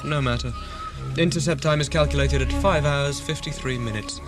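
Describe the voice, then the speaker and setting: A man's voice: a little high, moderate pace, over music, lightly acted. A man playing a courteous but coldly ruthless villain in an audio drama, speaking formally and silkily. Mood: smug, menacing.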